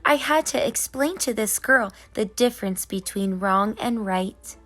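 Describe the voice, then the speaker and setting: A woman reading as a busy, whimsical, gently scolding tooth fairy in a children's storybook, in rhyme. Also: fairly high pitch, a little slow, faint music behind. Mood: realizing. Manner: sing-song rhyming delivery. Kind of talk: children's storybook narration in character.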